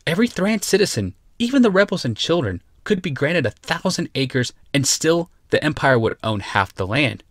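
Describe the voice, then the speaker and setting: A man's voice: a little high, sing-song, moderate pace, few pauses, no room sound, studio quality, voice pushed up. A male narrator voicing a smug, teasing woman who walks between worlds. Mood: proud. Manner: teasing, matter-of-fact. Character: smug, sly female otherworldly traveler.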